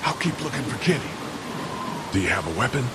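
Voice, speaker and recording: A man's voice: mid-range voice, big pitch swings, a little fast, very noisy, lightly acted. An actor playing a tense, protective man negotiating for hostages in a dramatic video game, urgent and pleading. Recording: loud music behind.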